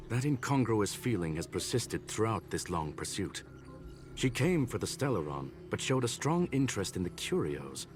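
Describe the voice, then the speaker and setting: A man voicing a learned mechanical gentleman in a video game, formal, calm and eloquent. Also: on the low side. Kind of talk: scripted video game dialogue. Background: game music.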